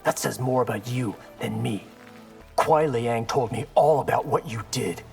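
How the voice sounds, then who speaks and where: A man's voice: medium pitch, unhurried, gravelly, a little mellow, soft background music. A man playing a defiant young martial artist hero in a video game, dry and sarcastic.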